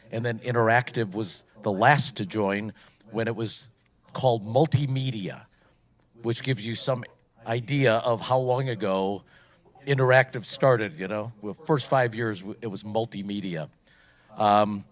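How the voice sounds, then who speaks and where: A middle-aged man from Poland hosting an event on stage, talking casually into a microphone and rambling with many fillers. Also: mid-range voice. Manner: chatty, rambling, frequent fillers. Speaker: event host.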